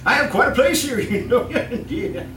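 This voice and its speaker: An actor playing a shifty, fussy employer with sinister secrets in old-time radio style, stammering, chuckling nervously and repeating himself. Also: male voice, extremely high pitch, expressive, normal speed, background noise. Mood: unctuous, chuckling. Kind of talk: old-time radio acting before a live audience. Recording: distant, echoey live stage with music.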